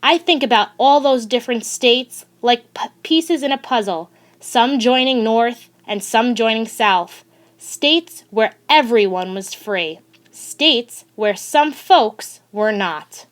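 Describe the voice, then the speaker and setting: A woman narrating a children's picture book aloud in a deliberate, expressive storytelling manner. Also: a little high. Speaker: audiobook reader.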